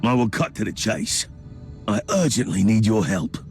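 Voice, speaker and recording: An actor playing a self-assured, scheming Inquisitor in a video game, with a formal, wordy and wryly theatrical delivery. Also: male voice, lowish voice, sing-song, moderate pace, soft background music. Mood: persuasive. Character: smooth-talking, wry male Inquisitor agent, self-assured schemer. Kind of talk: video game cutscene acting.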